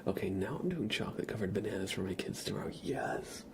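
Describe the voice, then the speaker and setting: A man streaming ASMR, chatting casually to viewers in a soft, breathy whisper with a slightly stuffy nose. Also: fairly low pitch, quick pace, noisy background. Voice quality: breathy whisper with a creaky edge. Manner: soft-spoken, rambling, casual.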